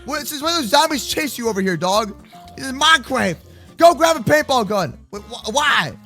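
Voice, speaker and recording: Very high-pitched, excitable gamer guy. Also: normal speed, soft background music. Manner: excitable, repeats words, exclaims in slangy speech. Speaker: host of a gaming roleplay video. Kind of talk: excited improvised Minecraft roleplay chatter for kids.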